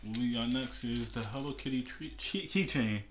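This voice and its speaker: A man's voice: mid-range voice, moderate pace. A young man co-hosting a haul vlog with casual, laid-back, joking chat, recorded on camera in a small room. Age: young adult. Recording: noisy, echoey small room.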